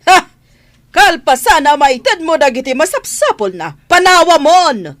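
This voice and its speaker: A woman's voice: high-pitched, big pitch swings, a little slow, fairly bright tone, exaggerated voice. A woman with an Ilocano accent acting an imperious, nagging mansion madam and mother in a radio comedy drama. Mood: bossy, scolding.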